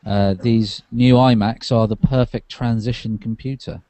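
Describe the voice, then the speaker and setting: A man with a soft, mellow tone co-hosts a casual tech podcast. He talks in a hesitant way, working out his thoughts as he goes. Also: medium pitch, steady tone, unhurried, no room sound. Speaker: podcast co-host.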